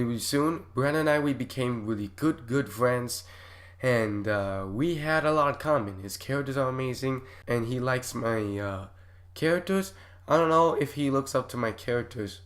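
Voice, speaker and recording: A young man hosting a casual reaction vlog while sick with a cough and stuffy nose, given to loud, excitable outbursts. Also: mid-range voice. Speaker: YouTube host reacting to videos.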